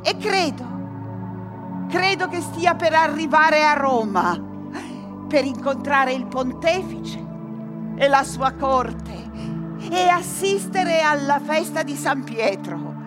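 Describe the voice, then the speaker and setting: A solemn storyteller chorus narrates in theatrical verse for radio. Her high voice moves very slowly in deliberate phrases, with music clearly underneath. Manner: declamatory, broken into deliberate phrases. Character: chorus, solemn female storyteller of wondrous voyages. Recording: music behind the voice.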